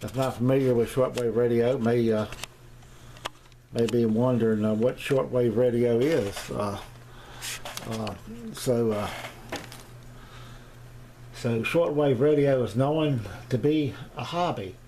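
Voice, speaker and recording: A man's voice: mid-range voice, warm tone. A middle-aged or older man giving a casual, unscripted equipment review as a hobbyist, rambling and hesitating often. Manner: halting, rambling delivery with long hesitations. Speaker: amateur radio hobbyist presenting his equipment. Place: small indoor home radio room. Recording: noisy home camera recording.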